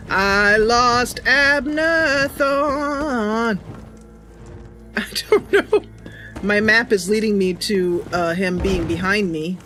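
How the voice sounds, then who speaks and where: A woman's voice: mid-range voice, drawn-out words, long pauses, bright, forceful tone, faint music behind. A woman giving casual, playful commentary as host of a gaming stream. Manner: giggly, playful. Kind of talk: casual live gameplay commentary.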